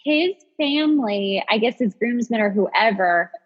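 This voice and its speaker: A woman in her thirties with a husky voice, chatting casually and telling stories in an animated, self-deprecating way as a podcast host. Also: a little high, measured pace. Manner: animated, self-deprecating storyteller who acts out quotes. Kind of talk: casual chat and storytelling. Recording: dull audio with long reverb.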